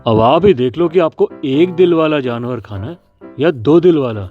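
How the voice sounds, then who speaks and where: A man voicing a friendly, quick-witted small animal in a children's cartoon story, polite and persuasive. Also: on the high side, animated, natural voice. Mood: cunning, persuasive. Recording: dull lo-fi sound with faint music. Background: faint music.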